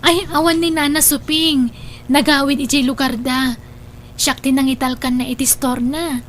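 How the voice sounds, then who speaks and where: A woman's voice: sits high, fairly even intonation, moderate pace, fairly bright tone, slight background noise. A woman playing a polite, obliging young village storekeeper with an Ilocano accent in a radio drama. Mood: helpful.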